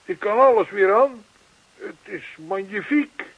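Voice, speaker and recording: A man's voice: high voice, expressive, unhurried, creaky, slightly rough, dry recording, exaggerated voice. A man playing an earnest, chatty older neighbour in a radio play, persistent, reassuring and long-winded. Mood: elated. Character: earnest, chatty older male neighbour zealous about yoga. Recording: thin, phone-like old radio play recording.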